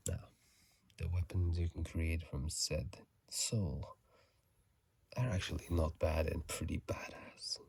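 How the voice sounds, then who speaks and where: A man giving casual, halting ASMR commentary in a hushed, breathy whisper, sleepy and close to a phone microphone. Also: a bass voice, fairly even intonation. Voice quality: breathy, gravelly soft whisper. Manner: slow, halting, hushed delivery. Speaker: video host.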